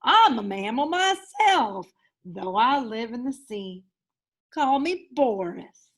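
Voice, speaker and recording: A woman's voice: fairly high pitch, sing-song, slow pace, heavily acted. A woman voicing a huge, friendly male whale in a storybook, with a grand, hearty, emphatic delivery. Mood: jovial, curious.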